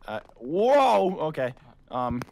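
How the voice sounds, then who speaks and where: A man's voice: a little high, sing-song, slow pace, creaky, a little mellow, slight background noise. A man improvising game roleplay dialogue in a hesitant, stammering way.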